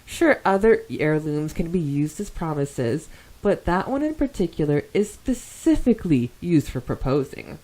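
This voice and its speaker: A woman with a mellow voice that sits a little lower than most. She co-hosts a casual podcast, moving between reading listener comments and adding her own asides. Her delivery is chatty, enthusiastic and prone to giggles. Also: sing-song, moderate pace, low-quality recording. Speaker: podcast co-host.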